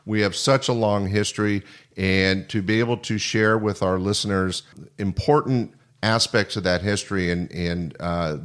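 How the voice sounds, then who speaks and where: An older man hosting a podcast in casual conversation, talking in an earnest, wordy, halting way. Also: deep voice. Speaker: podcast host. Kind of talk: casual interview chat.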